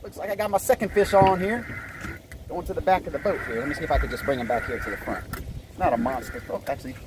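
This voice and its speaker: A middle-aged man with an American accent and a fairly high voice hosts a fishing outing. He talks casually and in a folksy, easygoing way as he works. Clear outdoor noise from the boat and water runs behind him. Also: quick pace. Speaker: fishing vlog host. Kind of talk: casual running commentary while fishing. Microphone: handheld camera or phone.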